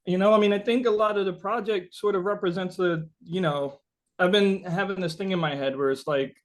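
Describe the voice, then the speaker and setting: Casting: male musician in an interview, fairly high voice, casual, halting, thinks aloud. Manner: halting, searching, rambling explanations.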